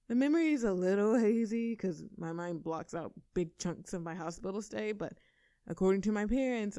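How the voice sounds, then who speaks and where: A woman's voice: medium pitch, darkish tone, no room sound, studio quality, natural voice. A woman playing a cocky, brawling girlfriend. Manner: cocky, casual, swears freely, laughs between lines. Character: tough, brash young woman, a scrappy girlfriend. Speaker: audio roleplay performer. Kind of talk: scripted audio roleplay to a listener.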